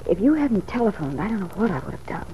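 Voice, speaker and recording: An actress playing an anxious, tearful married woman in love, speaking earnestly and haltingly in an old radio soap opera. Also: medium pitch, big pitch swings. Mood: wounded, confiding. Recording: dull, hissy old radio recording.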